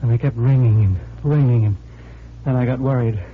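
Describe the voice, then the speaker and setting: A man's voice: mid-range voice, a little fast, breathy edge, lightly acted. An actor playing a tormented bank teller afraid for his sanity, distraught and faltering, in 1940s radio style. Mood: troubled, confiding. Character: tormented, desperate male bank teller fearing madness. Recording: thin, lo-fi 1940s radio recording.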